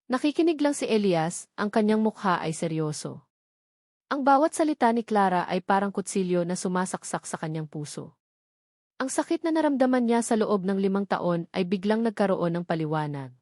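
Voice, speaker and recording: A woman reading a scripted dramatic romance story as narrator, in a measured, sentimental storybook delivery. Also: medium pitch, expressive, darkish tone, no echo, clean studio sound.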